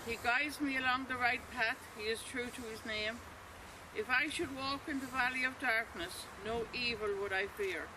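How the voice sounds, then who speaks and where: A man reading scripture slowly and solemnly at an outdoor remembrance service. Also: very high-pitched. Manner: slow, measured recitation. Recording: outdoor recording with clear background noise.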